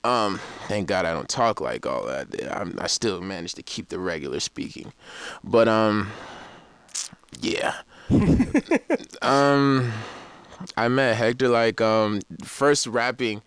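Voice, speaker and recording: A young man talking in a loose, rambling way, often laughing between thoughts. His voice is smooth and resonant, recorded close and dry like a podcast mic. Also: on the low side, slow, fairly bright tone, dry recording. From Florida. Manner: laid-back, rambling storytelling with laughs. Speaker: rapper in a band. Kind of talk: casual interview chat.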